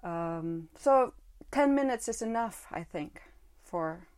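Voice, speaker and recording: A woman from Guatemala, a mother of a teenager, speaking as a podcast guest in a reflective, halting way with many "you know"s. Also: fairly low pitch, animated, a little mellow. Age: adult with a teenage son. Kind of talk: conversational interview.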